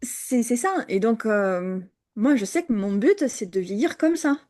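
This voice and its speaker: Hesitant higher-voiced woman podcaster. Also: animated, unhurried. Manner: hesitant, many fillers and restarts, drops quiet. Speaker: podcast co-host. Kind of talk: casual podcast chat.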